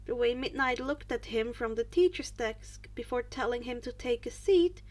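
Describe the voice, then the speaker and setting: High-pitched woman narrating a story. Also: normal speed, darkish tone. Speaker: fan fiction reader and narrator.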